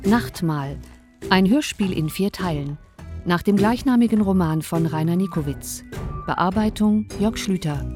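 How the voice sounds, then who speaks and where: A woman reading a scripted radio drama credit announcement. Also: on the low side.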